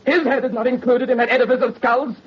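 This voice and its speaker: Tormented young nobleman, high husky voice, theatrical and pleading, muffled old radio drama. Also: fairly even intonation, fast. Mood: tormented protest. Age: young adult. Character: anguished, gentle young French nobleman resisting vengeance. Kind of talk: 1930s radio melodrama acting.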